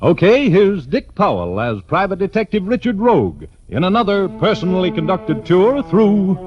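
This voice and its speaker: A man's voice: sits high, sing-song, moderate pace. A man announcing a show in the style of 1940s radio. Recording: dull vintage radio, clear music behind.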